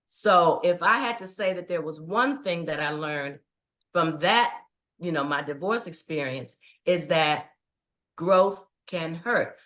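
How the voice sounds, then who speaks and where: Middle-aged woman, low-ish voice, thoughtful and earnest storytelling. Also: expressive, takes it slowly, a bit bright. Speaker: guest, writing coach. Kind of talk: casual interview chat.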